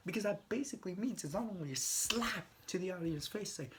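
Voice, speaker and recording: A man's voice: high-pitched, animated, brisk, noisy background. A young man rambling casually, swearing often and stumbling over restarts.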